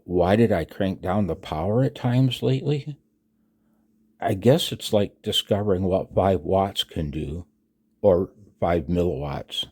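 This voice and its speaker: Older guy in his 60s, low raspy voice, halting and reflective, doing a personal announcement. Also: darkish tone. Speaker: amateur radio hobbyist and video narrator. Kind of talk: personal voice-over announcement, partly read from own text.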